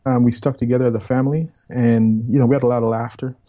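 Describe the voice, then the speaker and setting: Mellow Jamaican man telling his story. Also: medium pitch, fast. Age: 50s. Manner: rambling, relaxed, repeats words and says man. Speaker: guest. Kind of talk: storytelling in casual interview.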